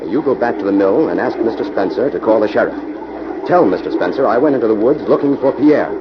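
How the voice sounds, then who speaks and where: An American man playing a composed, authoritative investigator in 1940s radio drama style, speaking deliberately. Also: fairly low pitch, animated, lightly acted. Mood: composed, probing. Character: sharp, composed male investigator, the Avenger. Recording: noisy, dull old radio recording.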